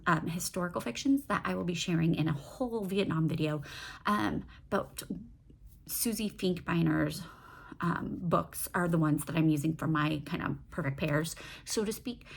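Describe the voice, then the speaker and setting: Creaky-voiced woman chatting casually about books. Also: lowish voice. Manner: rambling, self-interrupting, asks herself questions. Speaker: book reviewer and vlogger. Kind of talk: casual book-talk vlog, unscripted chat.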